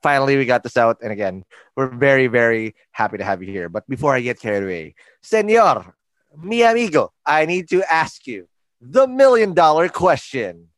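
A man with a Filipino accent hosting a casual interview podcast, playful and energetic, laughing often. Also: mid-range voice, moderate pace, no echo. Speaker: podcast host.

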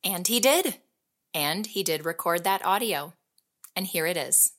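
An actress playing an investigative journalist, narrating a fiction podcast in a deliberate, scripted documentary style. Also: female voice, on the low side, expressive, fairly bright tone. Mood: measured, intriguing.

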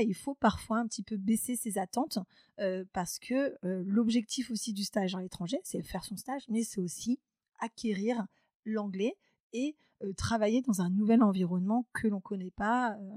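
A woman's voice: medium pitch, fairly even intonation, normal speed, darkish tone, no echo, studio quality. A woman in her thirties, a podcast guest, talking casually with many hesitations and restarts. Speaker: podcast guest, company founder. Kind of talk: casual interview talk.

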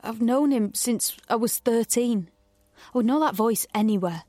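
A woman's voice: a little high, moderate pace, dark tone, dry recording, faint music behind, close to an everyday voice. An actress playing a wary, defiant young woman in a dystopian audio drama, in both dialogue and intimate narration. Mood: tense, shaken. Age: young adult.

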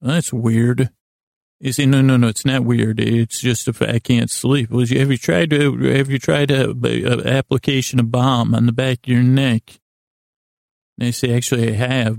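A man hosting a sleep podcast with a lulling, languid bedtime monologue, meandering and halting, often stammering into false starts. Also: medium pitch, a little mellow, no room sound, studio quality. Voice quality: gravelly, creaky. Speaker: podcast host. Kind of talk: rambling bedtime monologue to help listeners sleep.

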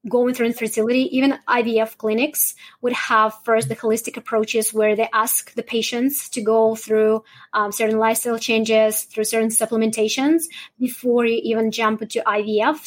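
A woman's voice: on the high side, flat delivery, normal speed. A woman from Russia, answering interview questions in a rambling way and often adding "right?". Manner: rambling, frequent "right?" tags, light laughs. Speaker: guest, holistic nutritionist.

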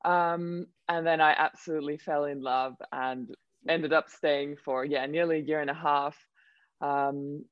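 A woman's voice: sits low, no echo, dull audio. Chatty young Finnish woman on a call. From Finland. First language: Swedish. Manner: chatty, storytelling, frequent "like" and "um". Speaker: interview guest, model. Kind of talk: casual interview chat.

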